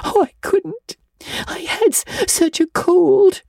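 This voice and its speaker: Woman putting on a dramatic orphan girl, way up high, tearful, breathy. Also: moderate pace, warm tone, no echo, studio quality.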